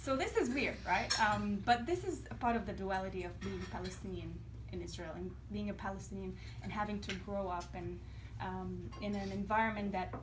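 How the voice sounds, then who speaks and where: A woman whose first language is Arabic speaks earnestly at a small restaurant event, pausing often on ums and reworking her sentences. Her pitch sits in a middle range. Clear background noise from the venue runs under her voice. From Akka. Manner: earnest, many ums and rephrasings. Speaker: panel speaker. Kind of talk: public talk at an event.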